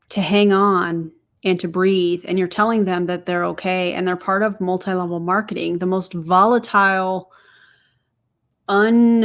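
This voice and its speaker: A woman's voice: lowish voice, vocal fry. A woman in her forties hosting a reaction commentary, sarcastic and incredulous, talking straight to her viewers. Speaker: commentary host.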